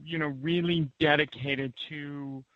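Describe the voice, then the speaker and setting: A man hosting a radio interview, asking questions in a halting way with frequent restarts. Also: a little high, steady tone, no room sound. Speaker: radio host. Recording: dull lo-fi radio audio.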